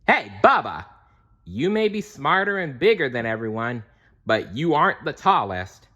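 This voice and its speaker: A man voicing a fearless, excitable superhero in a read-aloud storybook, earnest and declarative. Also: mid-range voice, moderate pace, lo-fi sound, voice pushed up. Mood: challenging. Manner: shouting, declarative.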